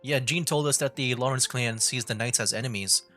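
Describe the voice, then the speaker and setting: A woman's voice: rumbling low, fairly quick, fairly bright tone, faint music behind. A woman streaming a game with casual, wry, slangy reactions in a laid-back manner.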